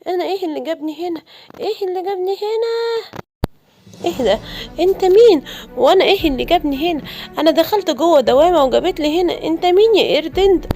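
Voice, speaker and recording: A woman's voice: very high voice, animated, fast, a little mellow, soft background music. A woman with an Egyptian accent voicing a young lion cub in a children's cartoon, childlike and exclamatory. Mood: alarmed, indignant. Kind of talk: animated children's story, acting all characters.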